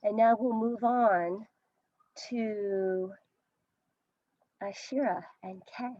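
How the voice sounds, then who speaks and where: A woman giving a deliberate lecture over a video call, repeating key phrases for emphasis. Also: medium pitch, mellow, no room sound, lo-fi sound. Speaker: presenter. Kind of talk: slow lecture.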